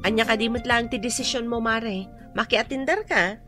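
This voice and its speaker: A woman acting in an Ilocano radio drama as a chatty, nosy friend, coaxing and questioning in an expressive Ilocano-accented way. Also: fairly high pitch, normal speed, over loud music, close to an everyday voice.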